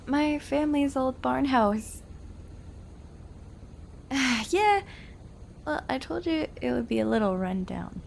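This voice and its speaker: A high-pitched young woman, played by a voice actress in an intimate audio roleplay. She is earnest and nervous, speaking slowly and hesitantly with a creaky edge, pleading to be believed. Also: expressive, a little hiss or hum, exaggerated voice. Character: nervous, earnest young woman introducing her ghost family to partner.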